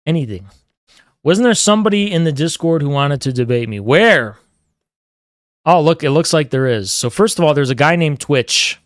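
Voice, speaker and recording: A man's voice: fairly high pitch, animated, moderate pace, no echo, studio quality. A man live-streaming, chatting casually and teasing his chat while rambling through strong opinions.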